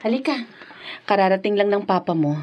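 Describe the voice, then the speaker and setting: An actress playing a hurt but forgiving young wife and mother in a Filipino radio drama, with a slow, sighing, emotional delivery and a Filipino accent. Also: medium pitch, lively intonation, a crowd in the background, lightly acted. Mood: gentle.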